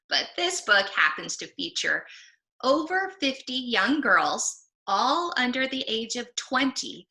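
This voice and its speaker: A woman giving a book talk for children in an emphatic, encouraging manner with deliberate phrasing. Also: mid-range voice, pushed and bright, poor audio. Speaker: presenter of children's books.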